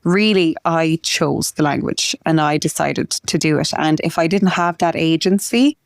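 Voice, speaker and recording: An Irish woman in her late twenties, a guest in an interview, talking in a chatty way full of "like" and quick asides. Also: sits low, creaky, no echo, clean studio sound. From Ireland. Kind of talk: conversational interview.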